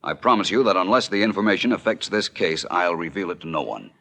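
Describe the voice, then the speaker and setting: An actor playing a confident, urbane, theatrical detective in a 1940s radio drama, with a smooth, knowing, drawling manner. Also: male voice, deep voice, expressive, quick pace, sharp tone, exaggerated voice. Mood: smoothly curious.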